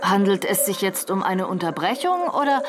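An actress playing a brisk, probing radio news presenter who questions in a businesslike way within a radio drama. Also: female voice, mid-range voice, big pitch swings, over music, natural voice. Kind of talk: radio news interview acting.

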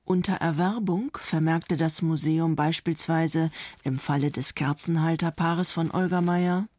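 Scripted documentary narration, faintly husky voice, middle register. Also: mid-range voice, fairly even intonation, unhurried, a little mellow, no echo.

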